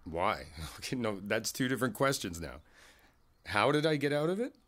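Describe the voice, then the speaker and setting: Male actor as kindly older neighbor, low-pitched voice, expressive rise and fall, halting, reflective, candid, intimate ASMR roleplay. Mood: reassuring, philosophical. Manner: halting, thoughtful, conversational, repeats phrases. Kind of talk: ASMR roleplay, one side of a conversation.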